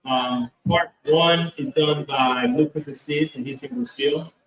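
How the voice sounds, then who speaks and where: A man's voice: on the high side, fairly even intonation, unhurried, distant mic, muffled recording. A man being interviewed at a convention about his comic book, talking in a rambling way with lots of fillers and restarts. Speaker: comic book creator, guest. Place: convention hall. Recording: roomy echo, from off mic.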